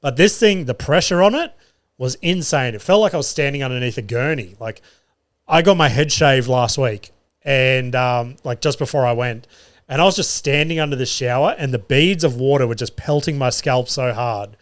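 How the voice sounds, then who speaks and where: A man's voice: mid-range voice, moderate pace. A young man hosting his solo podcast, telling comedy-gig stories in a loose, sweary way and laughing at his own jokes. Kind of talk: casual solo podcast storytelling. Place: studio.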